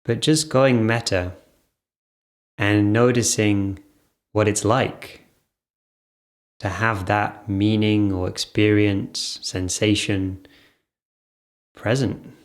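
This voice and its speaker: A man leading an off-the-cuff guided meditation, speaking in short phrases with gentle pauses between them. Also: fairly low pitch, steady tone.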